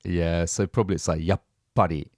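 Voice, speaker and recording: A man's voice: sits low, fairly even intonation, moderate pace, a little mellow, no echo, clean studio sound. A man hosting a language-learning podcast, upbeat and chatty, laughing often. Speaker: podcast host. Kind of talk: casual podcast chat.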